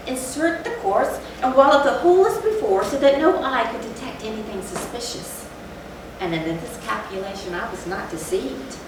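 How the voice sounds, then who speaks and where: A woman's voice: medium pitch, close to an everyday voice. A woman playing a guilt-ridden, gloating murderer in a theatrical, formally worded stage reading of Gothic horror. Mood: calculating, satisfied. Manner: theatrical, emphatic, old-fashioned formal diction. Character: gloating, guilt-ridden murderer confessing, outwardly calm, inwardly frenzied. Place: theatre stage, recorded from a distance. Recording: echoey hall, distant mic, noisy.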